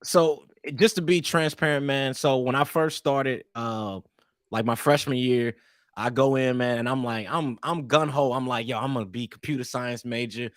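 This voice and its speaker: A man's voice: mid-range voice, moderate pace, no echo, studio quality. Laid-back man from East St. Louis. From East St. Louis, Illinois. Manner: relaxed, rambling, peppered with "man" and restarts. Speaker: guest. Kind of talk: casual interview storytelling.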